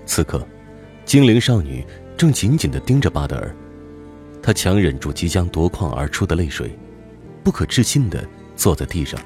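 A man with a very deep voice narrates an audio drama. His delivery is scripted and dramatic, with lively pitch movement and faint music behind him. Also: normal speed.

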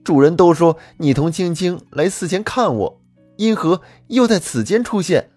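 A man voicing a hot-tempered young male shop clerk, shouting and indignant. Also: high-pitched, expressive, moderate pace, slightly rough, no echo, faint music behind, pitched above the performer's own voice. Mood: accusing.

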